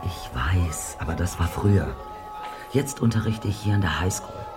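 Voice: sits low, lively intonation, normal speed, loud music behind the voice. An actor playing a tough maths teacher with a secret past, speaking curtly and guardedly on the phone in an audio drama. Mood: curt, defensive. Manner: curt, clipped, reluctant. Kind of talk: German audio drama acting.

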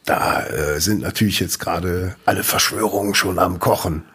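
A man with a fairly low, husky voice chats on a podcast in a relaxed way. He fills his sentences with hesitations and now and then reads short news items aloud. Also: normal speed. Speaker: podcast co-host. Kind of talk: casual podcast chat.

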